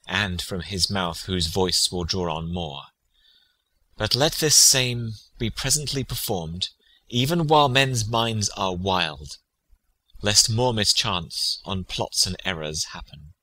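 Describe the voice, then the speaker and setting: A man's voice: deep voice, takes it slowly, sharp tone, exaggerated voice, low-quality recording. A man playing a prince's loyal, grieving friend in a classical tragedy, speaking in a solemn, declamatory way. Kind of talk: classical stage tragedy, dramatic audiobook reading.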